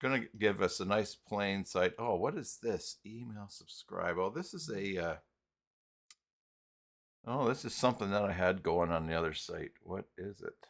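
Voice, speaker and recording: A man hosting a casual, unscripted live software tutorial, thinking aloud and muttering to himself as he works through each step. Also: low voice, normal speed, vocal fry. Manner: thinks aloud, muttering and humming filler syllables between steps. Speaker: live stream host and web tutorial presenter.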